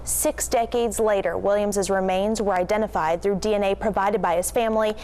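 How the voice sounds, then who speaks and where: A woman's voice: mid-range voice, steady tone, a little fast, a little mellow. A woman anchoring a television newscast, reading scripted stories in a presenter's delivery. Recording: clearly noisy TV news aircheck.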